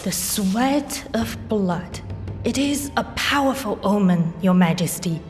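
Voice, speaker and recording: Calm female seer of an imperial court woman acting, measured and ominous; audio drama, reverberant with music. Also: medium pitch. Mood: solemn, portentous. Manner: calm, deliberate, portentous. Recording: hall reverb with music behind.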